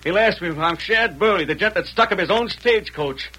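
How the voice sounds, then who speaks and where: An actor playing a bitter, desperate young cowboy with an American Western drawl and folksy slang in a radio western. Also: male voice, high voice, fairly quick, pushed and bright, slightly rough, full character voice. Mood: bitter, resigned. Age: young adult. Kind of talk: 1940s radio western acting. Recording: noisy vintage radio recording.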